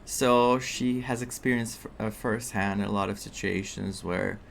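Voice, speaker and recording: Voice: medium pitch, steady tone, measured pace, vocal fry, slight background noise. A game streamer giving casual, chatty commentary on a story playthrough in an informal, reactive way. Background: game music.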